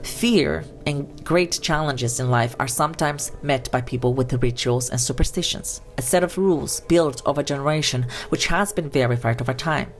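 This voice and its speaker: Woman reading documentary narration, low voice, measured, quiet music under it. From Romania. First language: Romanian. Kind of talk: scripted narration.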